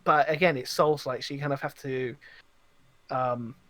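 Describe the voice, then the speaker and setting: A man's voice: mid-range voice, steady tone, moderate pace, fairly bright tone, dry recording. A man chatting casually on a gaming podcast, rambling along and acting out reactions as he tells stories. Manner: rambling, acts out quoted reactions. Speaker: video game podcast host. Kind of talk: casual animated podcast chat.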